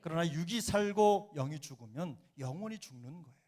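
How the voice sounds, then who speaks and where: A man's voice: fairly high pitch, lively intonation, a little fast, darkish tone. A middle-aged man with a slight Jeolla accent, preaching as senior pastor in a church, earnest and building to emphatic exhortation. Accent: Jeolla dialect. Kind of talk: sermon. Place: church sanctuary.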